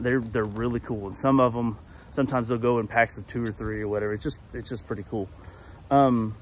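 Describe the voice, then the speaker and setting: A man's voice: mid-range voice, slight background noise. A man, chatting casually to a phone in his backyard, rambling and correcting himself as he goes. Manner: rambling, self-correcting, easygoing. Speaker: vlogger. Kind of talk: casual walk-and-talk monologue. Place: backyard outdoors. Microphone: phone held at arm's length.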